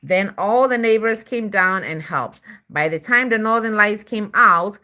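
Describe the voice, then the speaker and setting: A woman's voice: mid-range voice, a little slow, bright, forceful tone. A woman, a mother, reading a story aloud to her child with simple explanations and little check-in questions.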